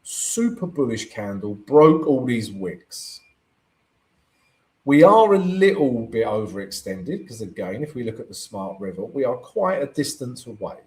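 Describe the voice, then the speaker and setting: A man with a croaky voice talks through his charts on a live trading stream. His pitch swings up and down as he goes, and he keeps checking in with his viewers. He reads out chat comments and answers them. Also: sing-song, unhurried, darkish tone, poor audio. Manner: chatty, keeps asking "right?" to the audience.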